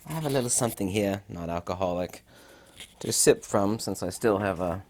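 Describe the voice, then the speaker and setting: A man with a raspy, hoarse voice while recovering from illness, giving rambling, emphatic commentary with many fillers. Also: lowish voice, normal speed, a little hiss or hum. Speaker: channel host. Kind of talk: casual commentary to camera.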